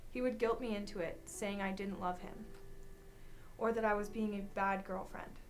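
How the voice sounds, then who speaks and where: Young woman reading, medium pitch. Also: steady tone, normal speed, a little hiss or hum. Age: young adult. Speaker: student reader. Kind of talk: reading a personal account aloud.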